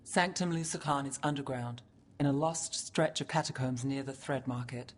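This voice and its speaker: An actress playing a guarded, wry, driven detective with a dry, clipped delivery in a game scene. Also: female voice, a bass voice, normal speed. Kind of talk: cinematic video game acting.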